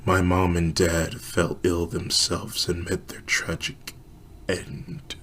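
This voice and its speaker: A man voicing a frail, dying middle-aged woman who speaks in a weak, slow, whispery voice. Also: sits low, little pitch movement, a bit bright, slight background noise. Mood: weak, resigned.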